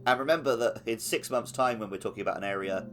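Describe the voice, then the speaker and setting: A man's voice: medium pitch, fast talker, fairly bright tone. A man co-hosting a podcast, chatting casually about video games. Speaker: podcast co-host.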